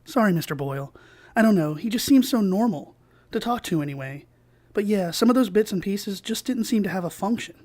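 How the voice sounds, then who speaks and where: A man's voice: high voice, lively intonation, a little fast, darkish tone. An actor playing an earnest young male analyst in an audio drama, polite and hesitant. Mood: earnest, deferential. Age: young adult. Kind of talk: naturalistic dramatic acting.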